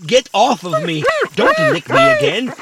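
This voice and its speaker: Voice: high voice, wildly swooping pitch, unhurried, heavy background noise, full character voice. An actor voicing a naive, literal-minded, friendly little robot in a children's audio drama, earnest and puzzled. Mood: nervous.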